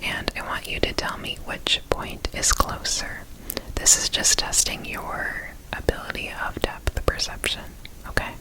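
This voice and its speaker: Woman, breathy ASMR whisper, unhurried pace, gentle examiner roleplay, reassuring instructions. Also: low-quality recording. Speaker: ASMR performer playing an examiner.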